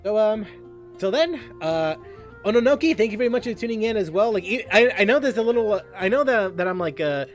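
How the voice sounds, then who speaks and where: A man hosting a casual gaming livestream, chatting in a halting way and often repeating and restarting his phrases. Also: high-pitched, lively intonation, soft background music. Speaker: livestream host, gamer.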